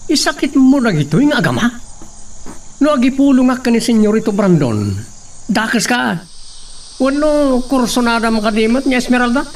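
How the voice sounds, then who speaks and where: A menacing henchman, acted by a man in a radio drama, with a high voice full of creak. He taunts and threatens with a hot temper. The sound is distant, with some background noise. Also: animated, moderate pace. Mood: threatening. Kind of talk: Ilocano radio drama acting.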